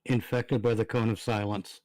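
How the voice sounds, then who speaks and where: A man's voice: fairly low pitch, flat delivery, normal speed, darkish tone, dry recording. Joking man in casual chat, dry sound. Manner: joking, quipping with pop culture references. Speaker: livestream panel member.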